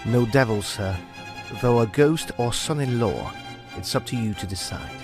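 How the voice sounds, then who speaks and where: Guy voicing a sly country lad, drawly and folksy, low-ish voice. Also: moderate pace, over music, close to an everyday voice. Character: young sly rustic groom. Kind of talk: old-time radio drama acting.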